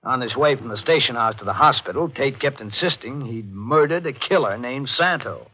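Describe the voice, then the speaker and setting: An actor playing an insistent law official in a 1940s radio mystery, clipped, pushy and businesslike. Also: male voice, mid-range voice, expressive, normal speed, slightly rough, muffled recording. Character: brisk, insistent law official pressing a doctor.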